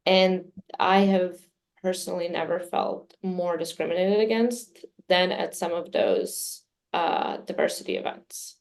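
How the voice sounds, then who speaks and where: A woman whose first language is Serbian, answering interview questions in a measured way with many fillers. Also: lowish voice, steady tone, creaky, a little mellow, poor audio. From Midwest US. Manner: measured, many fillers, speaks of herself personally. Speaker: communications director, interview guest.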